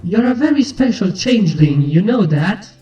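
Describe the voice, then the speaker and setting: A motherly changeling queen, played by a woman, speaks in a mellow, measured voice. She is regal and stern yet tender, a storybook creature with music playing behind her. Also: medium pitch, lively intonation, roomy sound, recorded from a distance, lo-fi sound, natural voice.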